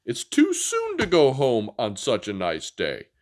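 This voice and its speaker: Storybook dinosaur sidekick, male reader: upbeat, reassuring, highly animated. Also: measured pace. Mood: cheering up. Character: upbeat, encouraging young dinosaur friend who reassures.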